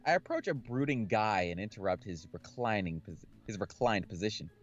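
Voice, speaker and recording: Male let's play host, jokey and expressive, reading a visual novel over music. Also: lowish voice, fairly quick, highs cut off. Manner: slangy, jokey, reacting aloud. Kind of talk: casual playthrough reading and commentary. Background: background game music.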